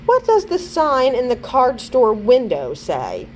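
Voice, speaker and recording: Woman reading as easygoing schoolboy, lively intonation. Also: high voice, slow, a little hiss or hum, pitched above the performer's own voice, full character voice. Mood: good-natured. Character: easygoing, patient fifth-grade boy, sensible big brother.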